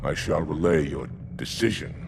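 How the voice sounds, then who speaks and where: Very deep alien warrior commander. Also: male voice, animated, moderate pace, gravelly, background noise, full character voice. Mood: deferential, restrained. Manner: formal, grave. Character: proud, indignant male alien warrior commander. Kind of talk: theatrical video game cutscene dialogue.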